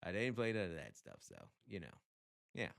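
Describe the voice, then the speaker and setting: A man giving casual solo commentary as a radio insider, talking in a slangy, animated way with a readiness to chuckle. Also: low voice, fairly quick, vocal fry. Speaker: radio programmer and music commentator.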